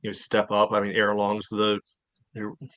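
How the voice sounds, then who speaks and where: Brief: male co-host, rambling and filler-heavy, flat delivery, dry close mic. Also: fairly low pitch, brisk, no room sound. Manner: rambling, many "you know" fillers. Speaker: podcast co-host. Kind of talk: casual sports chat.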